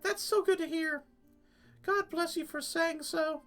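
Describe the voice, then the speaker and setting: A man voicing a worried, tender mother from a video game in a halting, gushing falsetto. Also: a bit bright, soft background music. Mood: grateful, tender. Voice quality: high falsetto. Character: worried, pleading, tender mother of a young woman.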